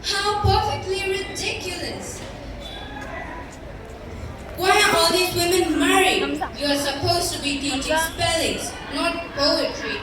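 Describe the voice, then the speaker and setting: A young female performer in a school stage play, acting a cruel, bullying headmistress who despises children, scornful and imperious. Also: sits high. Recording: roomy far-off stage recording, noisy.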